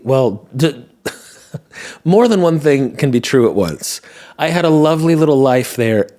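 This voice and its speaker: A man hosting a podcast in casual solo chat, rambling and wry, his voice tired and slightly hoarse. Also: medium pitch, a little mellow. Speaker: podcast host. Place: at home, indoors.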